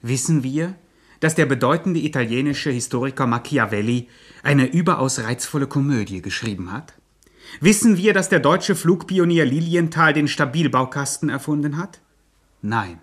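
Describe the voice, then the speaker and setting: A man's voice: mid-range voice, animated, moderate pace, slightly rough. Lofty mock-scholarly male narrator. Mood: lofty irony. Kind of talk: scripted radio play narration.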